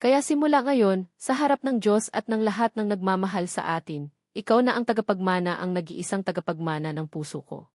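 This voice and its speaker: A woman voicing a young wealthy groom, earnest and deeply moved as he speaks. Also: fairly quick, darkish tone, no room sound, clean studio sound, close to an everyday voice. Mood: heartfelt. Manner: earnest, emotional vows.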